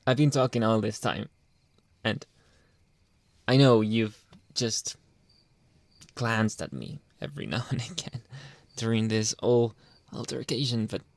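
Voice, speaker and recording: A man playing a jealous, controlling young boyfriend in an intimate ASMR roleplay, halting and pleading, often dropping toward a whisper. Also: mid-range voice, creaky, a little mellow, dry recording. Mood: pleading, intense. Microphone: close, dry microphone.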